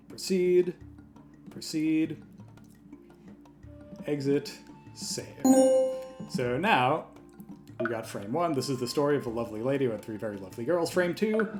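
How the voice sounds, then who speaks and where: Guy explaining software step by step, casual, normal pitch, bit of music in the background. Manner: explanatory, informal, talks through each step aloud. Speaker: software tutorial host. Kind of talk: step-by-step screen tutorial narration, conversational. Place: voice-over for a screen recording.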